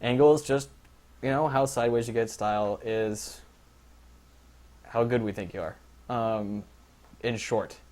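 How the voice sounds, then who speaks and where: Casting: young guy, mid-pitched, loose and rambling, jokey league organizer doing a casual stream briefing. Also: steady tone, a little slow, long pauses, a little mellow. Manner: rambling, filler-heavy, joking.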